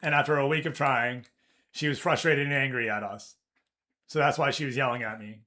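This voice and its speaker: A man streaming a live game session, chatting casually and telling a work story with swearing and mimicked voices. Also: brisk. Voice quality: deep voice. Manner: animated storytelling, swears, reads chat aloud. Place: office.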